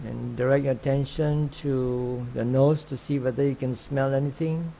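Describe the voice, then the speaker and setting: A man teaching guided meditation, speaking calmly and unhurriedly in short phrases with long pauses. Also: mid-range voice, creaky, a little hiss or hum, poor audio. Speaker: meditation teacher, Buddhist monk.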